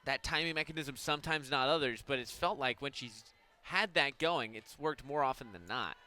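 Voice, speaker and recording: A man giving conversational color analysis on a softball radio broadcast, explaining things in an informal way. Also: mid-range voice, moderate pace.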